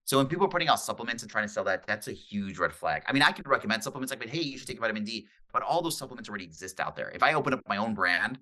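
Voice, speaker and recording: Fast-talking man with a low, bright voice. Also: lively intonation. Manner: explains in quick bursts with "uh" fillers. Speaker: guest, obesity medicine doctor. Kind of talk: casual conversational interview.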